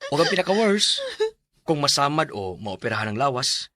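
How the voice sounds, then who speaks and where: A grave, explanatory doctor in a Bisaya radio drama. His mid-pitched voice is crisp and forceful, measured in delivery, in a close dry recording. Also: very animated, dry recording. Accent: Filipino, Bisaya. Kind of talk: Filipino radio drama acting.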